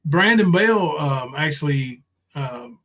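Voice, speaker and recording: A man's voice: fairly high pitch, expressive, takes it slowly, fairly bright tone. A middle-aged man hosting a casual livestream, chatting in an informal, foul-mouthed way. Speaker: livestream host.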